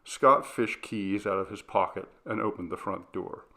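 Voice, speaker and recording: Male narrator for first-person ghost fiction. Lowish, creaky, forceful delivery with a theatrical storytelling manner. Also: normal speed. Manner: dramatic, acts out dialogue with shifts of pitch and volume. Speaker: story reader.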